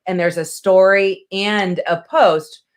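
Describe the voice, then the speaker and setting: A woman's voice: mid-range voice, steady tone, slow pace. A woman chatting casually and emphatically as a panelist in a webinar. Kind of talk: casual chat.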